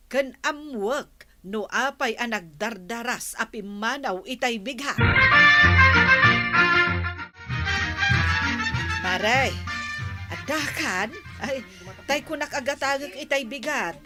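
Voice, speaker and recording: An actress playing a nosy village neighbour woman in a comedy radio drama, with an Ilocano accent and expressive exclamations. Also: on the high side. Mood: inquisitive.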